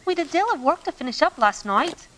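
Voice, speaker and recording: A woman playing a hurried, caring grown daughter in a radio play. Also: high-pitched, expressive, a bit bright, slight background noise. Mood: earnest, hurried.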